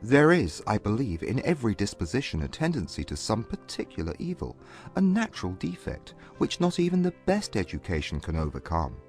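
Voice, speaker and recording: A man acts out a proud gentleman of Regency England. He speaks formally and with aloof, measured haughtiness, his pitch moving widely through each phrase. Soft music plays beneath him in this audiobook drama. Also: wildly swooping pitch. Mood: grave, self-assured. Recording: music bed under the voice.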